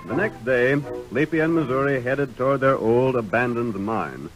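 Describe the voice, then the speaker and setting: A man's voice: medium pitch, normal speed, loud music behind the voice, rough recording. A man with an American accent narrating a scripted 1930s radio drama as its announcer. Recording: vintage 1930s radio recording.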